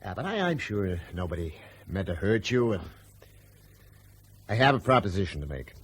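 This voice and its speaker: An actor playing a pragmatic, persuasive aviation company boss in a radio drama, condescending and forceful, with a husky voice. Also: male voice, deep voice, big pitch swings, moderate pace, heavily acted. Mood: assured, goading.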